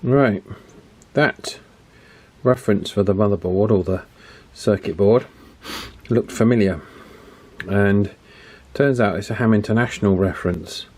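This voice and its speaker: A man with a somewhat low voice talks through a repair at his workbench. He thinks aloud in an easy, casual way, breaking off and restarting his sentences. He sounds like he is speaking into a camera mic in a home workshop. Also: unhurried, darkish tone, husky. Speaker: radio repair hobbyist.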